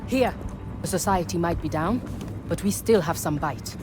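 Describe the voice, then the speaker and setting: A woman's voice: lowish voice, normal speed, over music, lightly acted. A woman playing a resolute, practical resistance leader in a video game. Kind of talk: dramatic video game cutscene acting.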